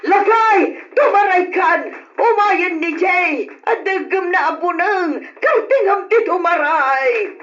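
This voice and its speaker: High, swooping elderly Ilocano mother played by an actress. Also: animated, slow, hardly any pauses, fairly bright tone, soft background music, full character voice. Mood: panicked. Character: emotional elderly mother, fretful wife of an old man. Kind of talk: old-style radio drama acting. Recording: dull lo-fi radio drama recording.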